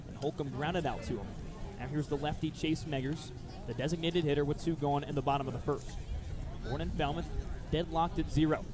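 A man's voice: on the high side, background noise. A man doing live baseball radio play-by-play in short, descriptive phrases. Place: outdoor ballpark broadcast position.

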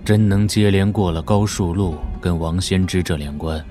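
A man plays a grave master swordsman of middle years in a wuxia audio drama. His voice sits very low, and he probes in a measured, skeptical tone over faint music. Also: steady tone, mellow, lightly acted. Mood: questioning.

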